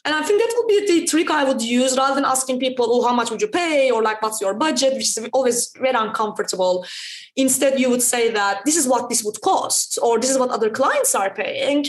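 Quick, emphatic Turkish-born woman founder. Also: high voice, lively intonation, roomy sound. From Turkey. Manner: fast, emphatic, with frequent "right?" tags. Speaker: startup founder, guest. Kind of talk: podcast interview answers.

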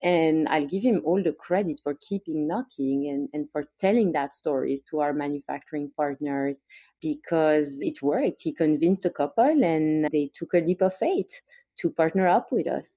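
A woman's voice: sits low, moderate pace, warm tone, dry recording, low-quality recording. A woman from Belgium with a Belgian accent, a brand founder telling her story as a guest in a podcast interview, chatty with small restarts.

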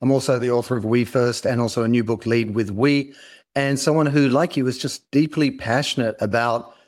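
Podcast guest: middle-aged Australian man, average pitch, earnest and polished storyteller. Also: steady tone, moderate pace, a little mellow. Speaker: interview guest, company founder and author.

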